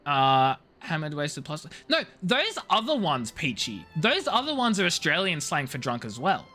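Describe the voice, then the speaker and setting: A man chats casually as he plays a game on stream, in a fairly high, animated voice. He is slangy and playful, given to mock outrage, with some music around him. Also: normal speed. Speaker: live streamer playing a video game. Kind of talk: casual chatty game commentary.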